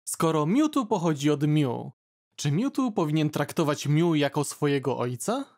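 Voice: medium pitch, very animated, normal speed, a little mellow. A narrator reading out fan questions in a plain, questioning tone. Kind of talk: fan comic dub.

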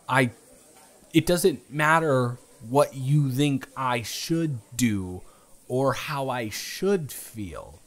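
A young man playing an earnest, flustered romantic lead in an improvised audio roleplay, speaking in a halting, emphatic, stammering way. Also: medium pitch, full character voice. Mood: pleading, exasperated.

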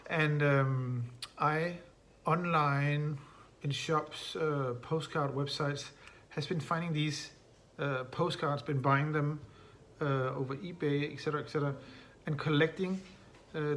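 A middle-aged man presenting his photo project on camera from a bright room at home, casual and halting, with many fillers and slightly non-native phrasing. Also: a little high, slight background noise. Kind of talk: recorded talk to camera.

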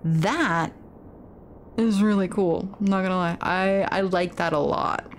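A woman's voice: on the low side, slight background noise. A woman casually commentating on a video game and reading its text aloud. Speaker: let's play streamer.

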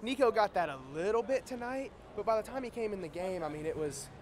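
A young man co-hosting a postgame sports recap, outdoors in a big stadium at night on a lapel mic, briskly leading the talk and asking questions. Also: sits high, lively intonation. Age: young adult. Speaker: co-host and sports editor. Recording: stadium crowd noise behind.

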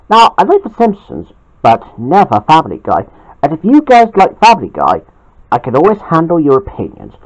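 An amateur storyteller reading aloud and talking to viewers in a halting manner with drawn-out words. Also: medium pitch, low-quality recording. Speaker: storyteller and channel host.

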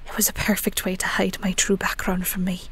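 Audio roleplay: young female werewolf, witch's daughter, played by a woman. Bitter, biting, husky half-whisper. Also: mid-range voice, monotone, brisk. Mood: accusing. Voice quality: breathy, whispery. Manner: hushed, biting, confrontational. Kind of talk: dramatic audio roleplay acting.

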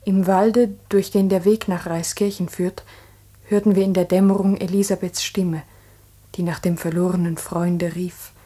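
An actress playing a grave schoolteacher who narrates in a solemn, literary manner in a 1960s radio play. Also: female voice, fairly low pitch, little pitch movement, normal speed, warm tone, slightly rough. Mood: sorrowful. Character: grave, sorrowful female schoolteacher recounting events. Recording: 1960s mono radio recording.

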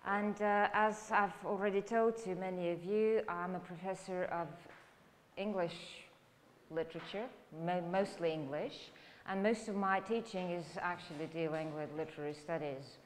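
A woman's voice: fairly low pitch, fairly even intonation, normal speed, a little hiss or hum. Serbian-accented woman lecturing in an echoey room. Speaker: university lecturer. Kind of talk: academic lecture, spoken freely. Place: indoor lecture room, standing by a lectern.

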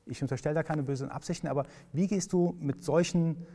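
A man's voice: fairly high pitch, fast talker, mellow, long reverb tail. A man hosting a podcast, asking friendly questions with hesitant fillers. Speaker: podcast host. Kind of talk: conversational podcast interview.

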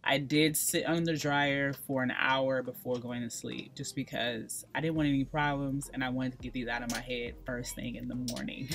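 Low-voiced woman coaching a hair tutorial. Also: little pitch movement, moderate pace, fairly bright tone, slight background noise. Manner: emphatic, coaching viewers with repeated pleas. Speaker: natural hair vlogger. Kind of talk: casual hair tutorial talk. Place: small bright room indoors.

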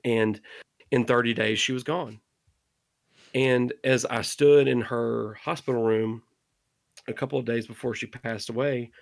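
A middle-aged man talks as a podcast guest, his voice carrying a gravelly rasp. He speaks with earnest conviction, pressing his listeners to keep making things. The sound is dry and close, with no room around it. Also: mid-range voice, dry recording. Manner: impassioned, exhorting listeners. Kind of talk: earnest interview monologue.

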